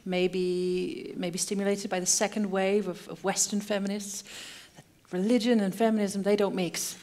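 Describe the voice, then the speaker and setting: A woman's voice: mid-range voice, level tone, normal speed, darkish tone. A woman moderating a panel discussion, introducing guests and asking questions in a friendly way, with many fillers. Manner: friendly, with frequent fillers and backchannels. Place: public debate hall.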